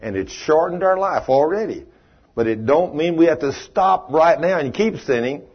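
A man's voice: on the high side, lively intonation, normal speed, breathy edge. A man preaching a sermon, folksy and plain-spoken, rising to emphatic preaching and taking questions from the audience. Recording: dull, muffled live recording.

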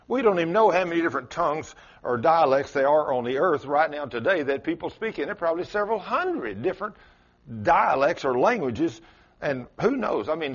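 A man with a South Texas accent preaching a sermon in a folksy, emphatic way. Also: muffled recording. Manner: folksy, emphatic, swings pitch up for stress.

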